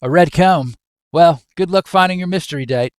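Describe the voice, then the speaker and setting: A man's voice: a little high, moderate pace, dry recording, studio quality, voice pushed up, close to an everyday voice. A man playing a cheeky, nosy Australian office worker with an attempted Australian accent and matey, teasing slang. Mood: cheeky, pushy. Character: cheeky, nosy, square-jawed Australian male office mate.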